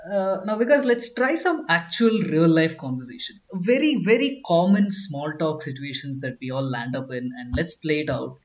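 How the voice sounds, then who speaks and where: A man from Kerala with a slightly high voice co-hosts a relaxed conversational podcast. He explains his points patiently and restarts his sentences often. The recording sounds dull and lo-fi. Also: normal speed, few pauses. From Kerala, India. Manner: explanatory, many restarts and "I mean". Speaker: podcast co-host.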